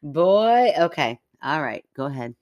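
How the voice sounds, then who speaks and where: A woman co-hosting a podcast in casual, playful banter, jokey and quick to poke fun at herself. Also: low-pitched, sing-song, a little mellow, no echo, highs cut off. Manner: playful, self-deprecating, jokey. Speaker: podcast co-host. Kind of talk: casual comic banter with sibling co-host.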